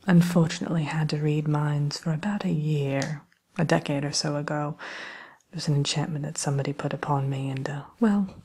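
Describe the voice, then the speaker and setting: A woman playing a sly, genteel evil queen shopkeeper in a soft, breathy, often whispered ASMR role play. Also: low voice. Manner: unhurried, genteel, slyly menacing.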